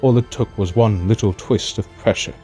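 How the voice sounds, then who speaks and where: A dignified, fatherly king, played by a man in an audio drama. His voice is fairly low and his phrasing is formal and stately. Soft music sits behind him. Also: normal speed, close to an everyday voice. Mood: measured narration. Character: dignified, resolute aging king, calm and fatherly. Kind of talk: fan audio drama acting.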